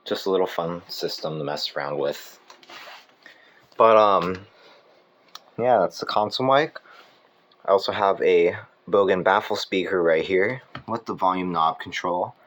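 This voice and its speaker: A man casually presenting equipment as a hobbyist, in an informal, halting manner, correcting himself as he goes. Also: low voice, a bit bright. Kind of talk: casual hands-on demonstration. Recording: clear background noise.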